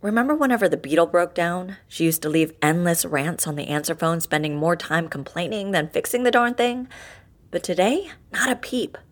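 An actress in an audio drama playing a practical office colleague, brisk and conversational with some worry. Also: female voice, lowish voice, lively intonation, lightly acted. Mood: worried. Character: practical, plain-spoken office colleague, a mildly worried woman.

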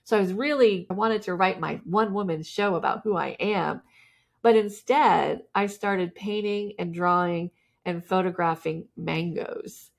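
A woman's voice: fairly low pitch, unhurried, vocal fry. A woman guest candidly telling her life story in a casual interview, often laughing as she talks.

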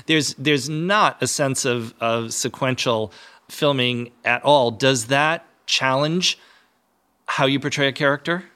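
Male podcast interviewer, medium pitch. Polite, measured, slightly formal delivery. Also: pushed and bright, clear, resonant tone.

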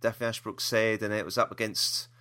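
A man's voice: fairly low pitch, flat delivery, normal speed, sharp tone. A British man hosting a podcast in casual chat, hesitant and rambling, often repeating himself. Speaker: podcast host.

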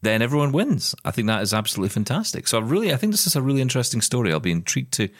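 A man's voice: mid-range voice, big pitch swings, racing, no echo, studio quality. A British man co-hosting a podcast, chatting enthusiastically about tech news with frequent restarts. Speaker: podcast co-host. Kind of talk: casual tech news chat.

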